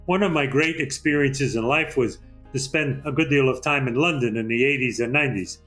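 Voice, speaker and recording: Older man, show host, measured and chatty, faint music underneath. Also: medium pitch, a bit bright. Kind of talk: interview hosting.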